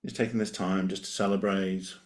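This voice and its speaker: A man leading a guided meditation, giving gentle, unhurried invitations with long pauses between them. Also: low-pitched, little pitch movement.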